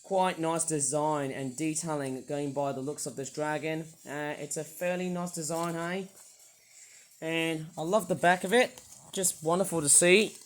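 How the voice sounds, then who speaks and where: British male toy reviewer, high croaky voice, rambly and enthusiastic, lots of "uh"s. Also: fairly even intonation, unhurried, slight background noise. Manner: rambling, enthusiastic, many hesitations.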